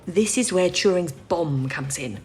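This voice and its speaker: A woman playing a sensible, educated wartime codebreaker in a period radio drama, speaking in a measured, reassuring way. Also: lowish voice, expressive, a little mellow, breathy edge, soft background music, full character voice. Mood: explanatory. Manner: measured, explanatory, composed.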